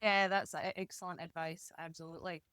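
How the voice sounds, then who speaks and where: A woman's voice: fairly low pitch, steady tone, brisk, a bit bright, no echo, studio quality. A woman hosting a podcast interview, asking conversational questions and responding in an encouraging way.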